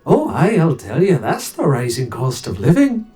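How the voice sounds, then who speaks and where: Mellow, swooping woodland adventurer. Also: female voice, low-pitched, huge pitch swings, normal speed, faint music behind. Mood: dry. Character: laid-back woodland-loving adventurer, drily mocking. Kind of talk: video game character acting.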